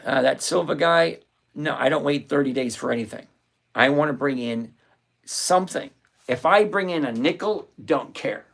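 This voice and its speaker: Gravelly-voiced man hosting a trading stream. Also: a little high, normal speed. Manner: chatty, thinks out loud, answers viewers by name. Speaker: livestream host and options trader. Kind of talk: live-stream trading commentary, reading chat aloud. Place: home trading desk with several screens.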